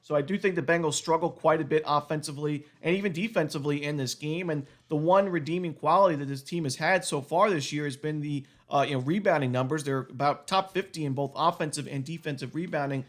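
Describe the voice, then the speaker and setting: Fast-talking man with a cold. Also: fairly high pitch. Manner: quick, steady rundown of stats and picks with small restarts. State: has a cold, voice going out. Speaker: sports betting analyst. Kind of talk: solo sports picks breakdown.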